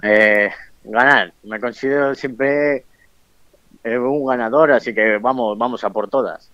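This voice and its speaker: A man's voice: medium pitch, a little slow, fairly bright tone, no room sound, dull audio. A man in his twenties from Colombia, speaking with a Bilbao accent, a professional boxer giving short, shy answers in an interview. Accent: Bilbao Spanish. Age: 20s.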